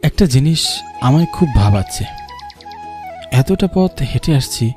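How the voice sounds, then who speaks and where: A man's voice: a little high, very animated, moderate pace, music in the background. A man playing an earnest young man who narrates a cartoon ghost story in the first person. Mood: wondering, uneasy. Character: earnest young man narrating his eerie village journey.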